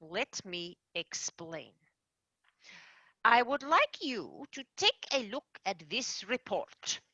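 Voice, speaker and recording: A woman playing a formal, measured manager of tooth fairies, in an amateur radio play. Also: dry recording, muffled recording, heavily acted. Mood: formal, brisk. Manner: formal, measured, lively pitch. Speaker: manager firing an agent.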